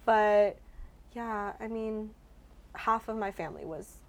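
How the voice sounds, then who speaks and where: A woman's voice: medium pitch, slow pace, creaky, slight background noise. Easygoing young woman, Korean first language. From California, United States. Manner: easygoing, hesitant, frequent fillers. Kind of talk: casual conversation.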